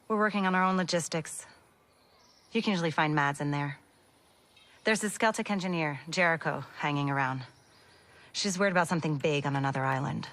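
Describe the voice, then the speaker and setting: A woman's low voice for a video game. She is a protective leader of a civilian community, speaking bluntly and firmly. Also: a bit bright. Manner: blunt, firm. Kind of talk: video game cutscene acting.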